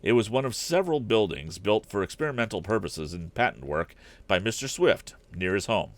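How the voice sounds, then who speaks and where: A man's voice: lowish voice, sing-song, fairly quick, dry recording. A man reading a storybook aloud as host, narrating expressively.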